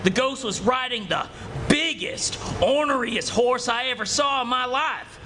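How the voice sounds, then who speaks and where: Man playing a very high-voiced, shaken frontier miner. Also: unhurried, sharp tone, full character voice. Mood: earnest, excited. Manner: rustic, exclamatory cowboy talk. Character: shaken, earnest frontier miner named Bill Bledsoe. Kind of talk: live radio play acting. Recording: noisy live stage recording.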